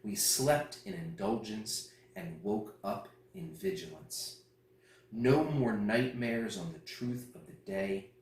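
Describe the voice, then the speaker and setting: A man with a slightly low voice recites poetry at a slow pace. The room echoes around him and he sounds a little way from the microphone. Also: expressive, a little hiss or hum. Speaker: poetry reader at a festival. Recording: roomy echo, distant mic.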